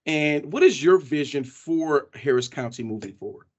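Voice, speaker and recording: A man's voice: fairly high pitch, lively intonation, moderate pace, lo-fi sound. A man hosting an interview from his office over a video call, friendly and prone to restarting his phrases. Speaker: host, county executive.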